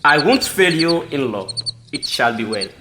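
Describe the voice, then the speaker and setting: Man acting high-pitched, earnest Sierra Leonean chief. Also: sing-song, measured pace, noisy background. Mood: earnest, grateful. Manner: formal, earnest, declamatory. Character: courteous, smitten newlywed chief, grateful son-in-law. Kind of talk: amateur audio drama acting.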